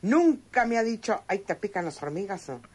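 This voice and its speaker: A woman's voice: mid-range voice, sing-song, normal speed, darkish tone, slightly rough. An actress playing a sly, folksy older country woman with a rural northern Mexican accent in a radio serial. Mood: coy, chatty. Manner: folksy, teasing rustic speech. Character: sly, plain-spoken older country woman, newly dressed up.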